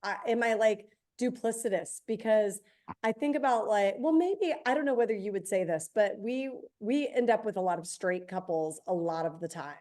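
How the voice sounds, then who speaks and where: A woman in her forties co-hosting a podcast, hoarse today, chatting casually with quick self-deprecating asides. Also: medium pitch, mellow. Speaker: podcast co-host. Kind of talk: casual chat.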